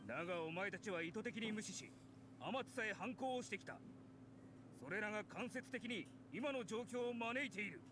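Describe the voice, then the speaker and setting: An actor playing a haughty, stern official in an anime game, speaking Japanese in an accusing, imperious way. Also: male voice, high-pitched, very fast, noisy background, lightly acted. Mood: stern, contemptuous. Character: haughty, stern male official pressing charges.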